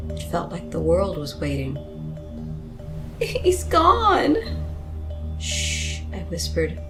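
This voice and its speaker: A woman's voice: lowish voice, poor audio. A woman reading a first-person story as a scripted, dramatic narration.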